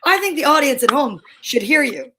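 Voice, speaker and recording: A woman's voice: sits high, animated, a little fast, sharp tone. A woman speaking casually as the host of an amateur radio-play show. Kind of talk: casual chat.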